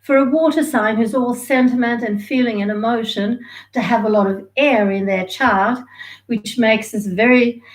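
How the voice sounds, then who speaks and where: A woman's voice: on the high side, normal speed, poor audio. A woman, from Sweden and Australia, giving a chatty, rambling live tarot reading and laughing easily. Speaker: tarot reader.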